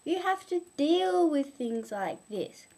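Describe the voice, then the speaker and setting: A woman's voice: high-pitched, sing-song, slow, darkish tone, pitched above the performer's own voice, full character voice. A young woman voicing a big mother blob in a homemade children's story, placating yet bluntly patronising.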